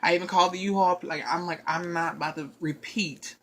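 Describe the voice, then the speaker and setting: A woman telling a long personal story in casual chat, rambling and animated, with frequent asides to her listeners. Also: on the low side, brisk, slight background noise.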